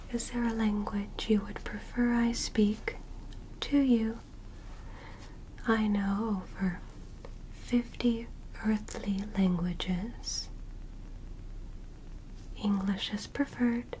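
A young woman voicing a gentle, formal alien medical examiner in an ASMR roleplay, in soft breathy whispers with measured, reassuring phrases. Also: on the high side, slight background noise, rough recording. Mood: calm, welcoming. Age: young adult. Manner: slow, deliberate, short measured phrases, reassuring. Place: small bedroom dressed as spaceship, indoors. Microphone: close microphone in front.

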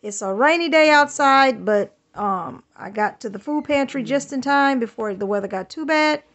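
Woman vlogger, thirties, slightly high voice, chatty and grateful, phone-filmed at home. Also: animated, moderate pace. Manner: warm, thankful, chatty. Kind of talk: casual home vlog. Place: home kitchen, indoors. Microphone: handheld phone camera.

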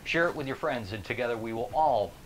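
A middle-aged American man with a creaky voice, giving a casual, animated, emphatic motivational talk to camera, often asking rhetorical questions. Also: mid-range voice, fast, low-quality recording. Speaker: motivational keynote speaker. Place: small indoor room. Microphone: lapel.

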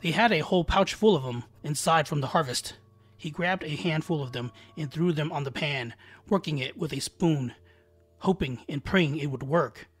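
A man's voice: a little high, moderate pace, slightly rough. A man narrating a scripted scary folktale as a storyteller, with dramatic, suspenseful delivery. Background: background music.

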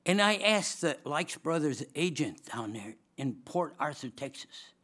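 Older man telling a lively sermon story. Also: a little high, measured pace. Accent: Swedish. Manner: lively, humorous, laughs often, gestures broadly. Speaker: chapel speaker, former dean. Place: large auditorium stage at a lectern. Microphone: lectern microphone.

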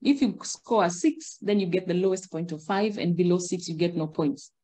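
A middle-aged Kenyan woman on a video call, explaining in a measured way and gesturing as she talks. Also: on the low side, warm tone. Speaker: guest, migration agent. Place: indoor room.

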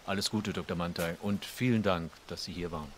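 Actor as a deep-voiced, courteous gentleman. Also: fairly quick, a little hiss or hum, lightly acted. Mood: sober, polite. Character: courteous, formal gentleman of 1920s Vienna. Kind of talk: radio drama acting.

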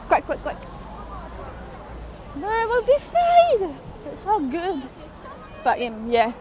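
A woman's voice: extremely high pitch, huge pitch swings, slow. A young woman vlogging on a phone held at arm's length, rambling offhand with lots of fillers, near a concert venue. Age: young adult. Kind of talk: casual vlog chat. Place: busy street and cafe outside a concert venue. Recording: loud music and crowd noise.

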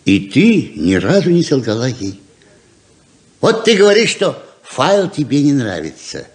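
A man's voice: mid-range voice, wildly swooping pitch, a little slow. An actor playing a stubborn, loving middle-aged father in a 1970s Soviet radio play, emphatic and persuasive, moving between tenderness and insistence. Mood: gently probing. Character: coaxing, stubborn, loving father of grown children. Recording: roomy 1970s radio drama recording.

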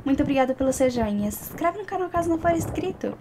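A young Brazilian woman giving casual, chatty gameplay commentary, often repeating words in quick bursts. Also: sits high, animated, noisy background. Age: young adult. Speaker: gaming YouTuber. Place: indoor room, seated at computer. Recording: game music behind voice. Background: loud game music and effects.

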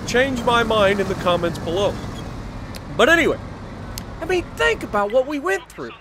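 An American man in his thirties telling a casual, jokey story in an animated, exclamatory way. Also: very high-pitched, moderate pace, background noise. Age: 30s. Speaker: gaming video creator. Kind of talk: casual storytelling over gameplay.

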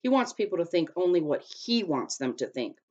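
A gentle male scholar from a storybook, speaking with quiet solemnity about danger to his books, voiced by a woman reading aloud. The delivery is earnest and measured. The recording is muffled and lo-fi. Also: on the low side, very animated, pitched above the performer's own voice. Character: gentle adult male scholar in ancient China, sorrowful. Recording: dull lo-fi recording.

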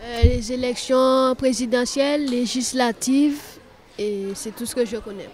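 A teenage schoolgirl answering interview questions on a handheld mic, halting and searching for words. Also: on the high side, fairly even intonation, a little mellow. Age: teens.

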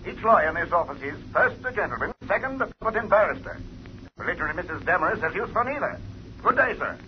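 An actor playing a stiff, pompous senior lawyer who speaks over a phone, in a 1950 radio drama. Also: male voice, high voice, sing-song, quick pace. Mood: haughty. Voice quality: pompous, clipped. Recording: dull old radio recording.

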